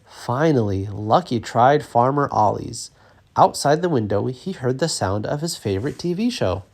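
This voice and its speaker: Male storybook narrator, medium pitch, very expressive and lively, reading aloud for kids. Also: moderate pace. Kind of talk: expressive children's read-aloud.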